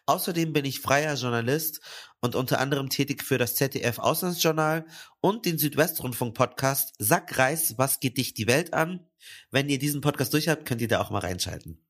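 A man co-hosting a podcast, with a cold, introducing the episode in a casual, lively way. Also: medium pitch, moderate pace. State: has a cold. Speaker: podcast co-host.

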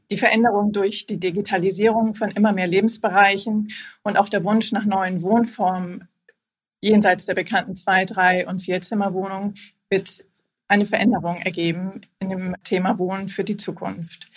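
Woman, 50s, husky voice, measured and formal, interview guest. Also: medium pitch. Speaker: housing cooperative executive, guest. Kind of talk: podcast interview.

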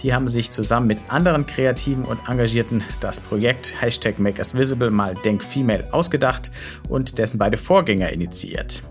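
Ein Mann, der als Gastgeber locker durch ein Podcast-Gespräch führt. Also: male voice, medium pitch, fairly quick, soft background music. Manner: friendly, chatty moderating. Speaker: podcast host. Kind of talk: casual podcast interview.

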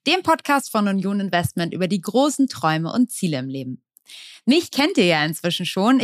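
A woman's voice: medium pitch, no echo, studio quality. A woman hosting a podcast, reading a scripted introduction and then chatting casually with her guest in an upbeat, friendly way. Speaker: podcast host.

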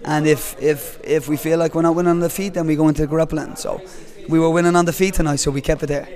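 A man who coaches fighters, calmly answering questions at an event with frequent hesitations. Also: fairly high pitch, fast talker. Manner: calm, hesitant, many fillers. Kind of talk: post-fight interview.

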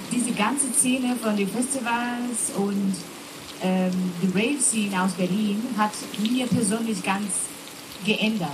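A woman's voice: fairly high pitch, noisy background. Hesitant woman on a rainy festival stage. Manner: hesitant, searching for words, many fillers. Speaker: festival booking team member. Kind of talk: live panel talk. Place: festival tent in the rain.

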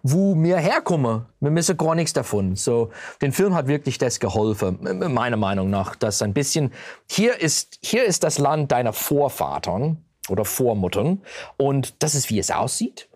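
A man's voice: mid-range voice, normal speed, no room sound, clean studio sound. Man speaking learned German. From Pennsylvania, USA. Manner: searching for words, animated. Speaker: guest. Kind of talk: interview answer.

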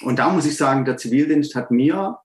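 A man in his forties with a Swabian accent, speaking earnestly as an interview guest and often pausing to search for words. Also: medium pitch, echoey room. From Swabia, Germany. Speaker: guest, fathers' coach. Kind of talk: reflective interview talk.

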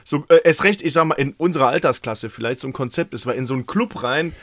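A man in his thirties co-hosting a podcast, chatting in a relaxed, hesitant way with frequent restarts. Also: mid-range voice, quick pace. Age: 30s. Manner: relaxed and hesitant, with restarts and short approving interjections. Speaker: podcast co-host. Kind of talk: casual chat.

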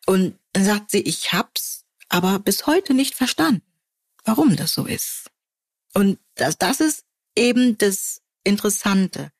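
A woman from Germany co-hosting a podcast, telling stories wryly and quoting people in lively voices. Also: mid-range voice, unhurried, breathy edge, no room sound, studio quality. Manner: wry storytelling, quoting others in lively voices. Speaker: podcast co-host. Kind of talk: casual conversation.

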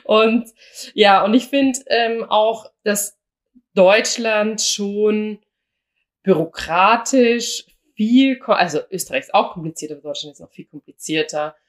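A young woman chats casually as a podcast co-host. She talks in a lively, anecdotal way, often slipping into quoted back-and-forth. Her voice sits at an ordinary middle pitch for a woman. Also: measured pace. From Austria. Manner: chatty, anecdotal, acts out quoted dialogue.

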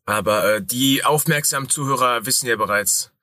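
Bright-voiced man with a cold. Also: medium pitch, moderate pace, no room sound, studio quality. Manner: rambling, crude slang-heavy banter. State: has a cold. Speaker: podcast co-host. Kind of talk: casual podcast chat.

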